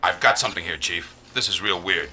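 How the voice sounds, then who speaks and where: A dependable male intelligence officer in an anime game. His voice is sharp and bright at a medium pitch, serious and matter-of-fact, with faint noise behind. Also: brisk. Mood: intent, businesslike. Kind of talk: English anime game dub acting.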